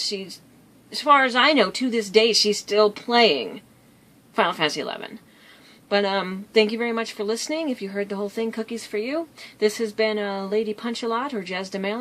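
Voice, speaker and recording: A woman's voice: on the high side, fairly bright tone. A woman vlogger telling stories in a casual, rambling way, easily drifting off track. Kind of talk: casual storytelling vlog.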